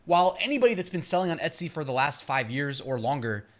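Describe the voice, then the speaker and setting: Man, channel host, explainer commentary, highly varied pitch, slightly raised register, emphatic delivery. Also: big pitch swings, quick pace. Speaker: host of an online seller advice channel. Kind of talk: explainer commentary to camera, part reading out points.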